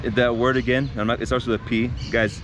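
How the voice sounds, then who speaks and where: A man's voice: mid-range voice, little pitch movement, fairly quick. A man vlogging outdoors by a city street, telling a story to camera in a loose, conversational way, full of restarts and fillers and often calling his viewers guys. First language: not Spanish. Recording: outdoor street traffic noise.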